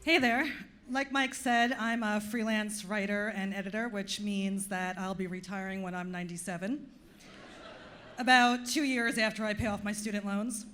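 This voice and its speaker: A woman's voice: medium pitch, fairly even intonation, normal speed, a bit bright, soft background music. A woman from Long Island, New York, with a Long Island accent, performing a stand-up comedy set in a club, delivering dry, self-deprecating punchlines. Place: comedy club stage with audience.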